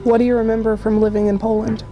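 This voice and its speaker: A woman interviewer reading prepared questions in a brisk, scripted way. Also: a little high, level tone, highs cut off. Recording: music playing behind.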